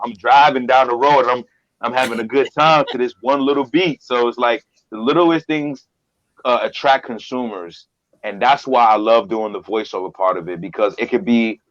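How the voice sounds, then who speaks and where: A man's voice: medium pitch, no room sound. A man speaks casually in a mid-range voice, as a guest in a conversation. He often repeats words and phrases to drive a point home. The sound is dry and somewhat dull, with little room around it. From Trinidadian family. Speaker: guest, voice-over performer and business owner. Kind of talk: casual chat. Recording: dull lo-fi audio.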